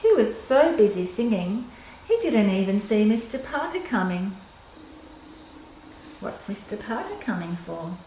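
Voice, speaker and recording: A woman's voice: mid-range voice, very animated, measured pace, long pauses, mellow. An older woman reading a picture book aloud to children, expressively, with simple asides for young listeners. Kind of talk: children's story read-aloud. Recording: roomy echo with clear background noise.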